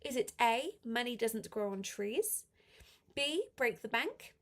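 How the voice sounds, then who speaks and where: A woman with a fairly high voice that carries a slight cold. She teaches a live online language class, reading exercise items out step by step and chatting to learners with plenty of encouragement. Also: animated, takes it slowly. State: slight head cold affecting the voice.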